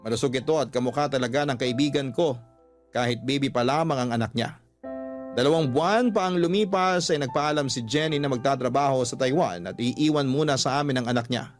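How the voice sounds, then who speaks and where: A man narrates a personal letter in a radio storytelling style. His voice sits at a middle pitch, with soft music playing faintly behind it. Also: fairly quick. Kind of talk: scripted narration of a confessional love story.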